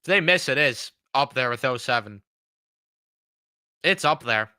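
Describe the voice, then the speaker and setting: A man's voice: medium pitch, expressive, a little slow, bright, forceful tone, smooth, dry recording, clean studio sound. A man in his twenties hosting a sports fan show, giving blunt, frustrated, swearing commentary while fighting a cold. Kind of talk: casual reaction commentary.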